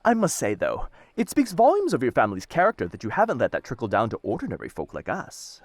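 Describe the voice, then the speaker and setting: High, sing-song merchant. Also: male voice, huge pitch swings, quick pace, no room sound. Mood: cheery, fawning. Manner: bright, sing-song, ingratiating, calls listener darling. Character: assassin disguised as a chirpy, fawning merchant shopkeeper. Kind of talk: ASMR roleplay, scripted character acting.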